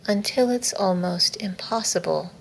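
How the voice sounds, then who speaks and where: A woman teaching a guided meditation in a soothing, measured, instructive way, with soft, breathy phrase endings. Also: mid-range voice, low-quality recording. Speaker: yoga nidra meditation teacher. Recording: faint background noise.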